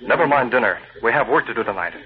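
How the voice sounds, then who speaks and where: An actor playing a curt, commanding newspaper chief turned menacing vigilante in an old-time radio drama. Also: male voice, medium pitch, lively intonation, fast, crowd noise, lightly acted. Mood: determined. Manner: curt, commanding, coolly threatening. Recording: tinny, noisy old radio recording.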